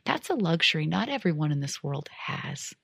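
Low, gravelly-voiced woman. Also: moderate pace, dry recording, studio quality. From United States. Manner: calm, earnest and encouraging. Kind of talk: reflective monologue.

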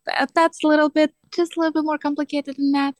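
A woman's voice: sits high, flat delivery, fast, dry recording. Woman, dry sound. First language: Russian. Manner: earnest, slightly non-native phrasing with fillers. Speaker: guest, coach and teacher. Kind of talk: casual podcast interview.

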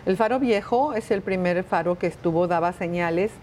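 A woman from Mexico, a tour company owner, answering interview questions in a casual way, pausing to think with fillers. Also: lowish voice, a little mellow, slight background noise. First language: Spanish. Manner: thinks aloud with pauses and fillers.

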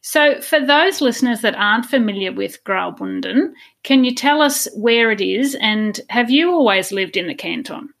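A woman's voice: a little high, lively intonation, normal speed, gravelly, few pauses, fairly bright tone. A woman hosting a podcast, interviewing in a conversational, polite and encouraging way. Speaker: podcast host.

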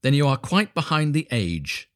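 A man's voice: mid-range voice, sing-song, normal speed, pitched above the performer's own voice, lightly acted. A man voicing a self-important, opinionated water rat in a storybook, pompous and know-it-all. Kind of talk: storybook animal voice.

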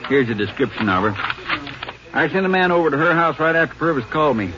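An actor in a 1950s radio drama playing a folksy small-town Texas sheriff who talks in an easy drawl. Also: male voice, on the high side, animated, fast, natural voice. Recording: dull old radio recording with hiss.

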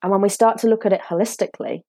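A woman's voice: mid-range voice, steady tone, dark tone, no echo. British woman speaking in a dry recording. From United Kingdom. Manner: measured, reflective explaining. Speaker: guest, author and coach. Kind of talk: podcast interview.

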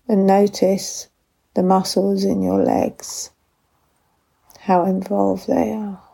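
Woman doing a body scan meditation, super slow n gentle, husky breathy soft voice, long gaps. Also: mid-range voice, little pitch movement, low-quality recording. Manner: gentle, unhurried instructions with long pauses. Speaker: guided meditation instructor.

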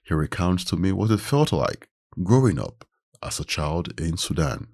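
A man hosting a podcast, reading scripted narration in a measured, expressive way. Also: low-pitched, creaky, no echo. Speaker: podcast host. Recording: dry close mic, faint music bed.

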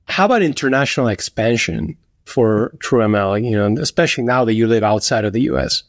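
A man's voice: fairly low pitch, expressive, a little fast, no echo, clean studio sound. A man hosting a podcast, asking curious, conversational interview questions. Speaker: podcast host.

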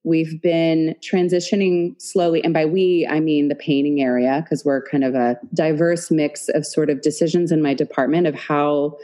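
Low, mellow-voiced woman painter guest. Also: level tone, normal speed, hardly any pauses. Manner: warm, earnest, effusive. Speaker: guest artist and painting teacher. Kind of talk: casual interview chat. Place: home studio in a converted dance studio. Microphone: video call.

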